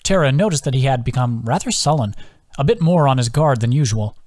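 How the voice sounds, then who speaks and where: A man's voice: medium pitch, animated, a little fast, a little mellow, no room sound, clean studio sound. A man reading his own fantasy novel aloud as scripted audiobook narration.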